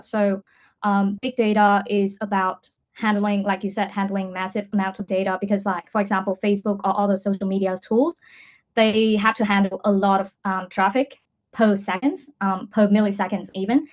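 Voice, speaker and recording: A woman at a middle pitch. She answers in a casual, conversational way, pausing and thinking aloud as a learner in an online class. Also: little pitch movement. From Vietnam. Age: 20s. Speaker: English student, data scientist.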